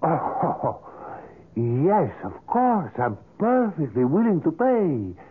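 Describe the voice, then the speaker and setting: An actor playing a polite, wheedling sculptor, hesitant and apologetic, in a 1970s radio drama. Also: male voice, fairly high pitch, wildly swooping pitch, takes it slowly, breathy edge, heavily acted. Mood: pleased, obliging. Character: fussy, ingratiating sculptor, apologetic, wheedling, insistent. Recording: narrow, tinny old radio recording.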